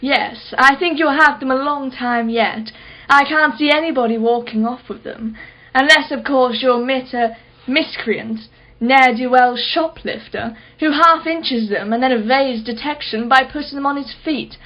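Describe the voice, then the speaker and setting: A high female voice, an actress playing a moneyed, skeptical customer in a radio drama. She is theatrical and sarcastic, relishing long mocking phrases. The recording is a little dull and lo-fi. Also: unhurried. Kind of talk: radio play acting.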